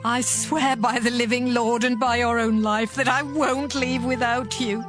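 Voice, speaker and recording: Woman as ancient Israelite wife, higher female voice, earnest, determined, firm, scripture audio drama, light music bed. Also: steady tone, a little slow, natural voice. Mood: anguished, resolute.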